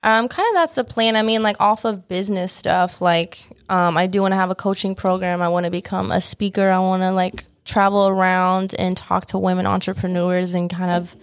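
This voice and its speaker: A woman's voice: medium pitch, fairly even intonation, moderate pace, hardly any pauses, dry recording. A young woman from northern Vietnam, a salon owner talking casually and bluntly about her business plans, swearing now and then. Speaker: lash salon owner, guest. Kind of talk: casual podcast chat.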